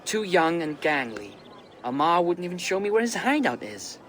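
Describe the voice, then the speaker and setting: Animated, rueful young Viking. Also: male voice, on the high side, normal speed, slight background noise. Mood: chatty, wry. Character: rueful, chatty young Viking man, eager underling. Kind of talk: video game dialogue acting.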